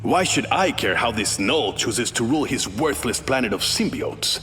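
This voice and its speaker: A man's voice: medium pitch, animated, normal speed, a bit bright, soft background music, natural voice. A man voicing a haughty, regal warrior king in a video game, speaking formally and proudly. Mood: haughty, defiant. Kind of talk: video game character acting.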